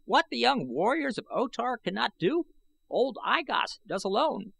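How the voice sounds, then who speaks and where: A man voicing a boastful, cackling old Martian in a shrill voice, with old-fashioned taunting speech, in an audiobook. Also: very animated, a little slow, creaky, fairly bright tone, breathy edge, no echo, full character voice. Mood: gleeful boasting.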